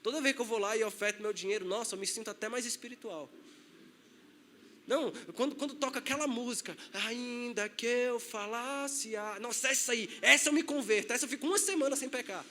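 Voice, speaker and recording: A man's voice: extremely high pitch, a little fast, sharp tone, a little hiss or hum. A male pastor with a Brazilian accent, preaching a sermon in a loose, conversational way and acting out the voices he quotes. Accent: Brazilian Portuguese. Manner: conversational, acts out quoted voices, laughs at his own points.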